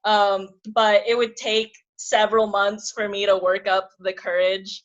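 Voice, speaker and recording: A woman's voice: medium pitch, level tone, measured pace. Chatty young woman on a dull line. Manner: chatty, rambling, many "like"s and giggles. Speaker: comedian guest. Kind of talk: casual interview chat.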